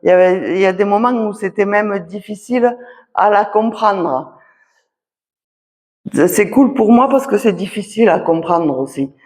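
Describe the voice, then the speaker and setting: A woman magnetiser giving readings at a public talk, speaking haltingly and often pausing on short confirmations. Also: medium pitch, darkish tone. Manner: halting, punctuates phrases with "voilà" and "d'accord". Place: association conference hall. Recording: long hall reverb.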